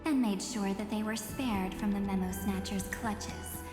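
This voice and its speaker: Woman voicing a mysterious calm game spirit lady, talks slow n cryptic, big hall reverb, music under it. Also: mid-range voice, lightly acted. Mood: inviting, mysterious. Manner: measured, cryptic, theatrical. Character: calm, enigmatic female spirit of memory and oblivion. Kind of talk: video game story voice acting.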